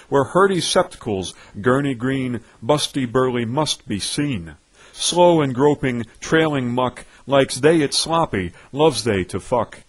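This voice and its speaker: A man recites verse with grand, pompous theatricality. His voice is husky and rough-edged, and he takes his time over each phrase, leaning on words for dramatic weight. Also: medium pitch. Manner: pompous, declamatory, with dramatic emphasis. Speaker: poetry reader.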